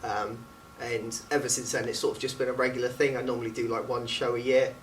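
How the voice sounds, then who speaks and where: A man talks casually, like a student actor being interviewed. His voice is mid-pitched. It is picked up from some way off, over faint background noise. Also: little pitch movement, fairly quick, distant mic, low-quality recording. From England. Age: late teens.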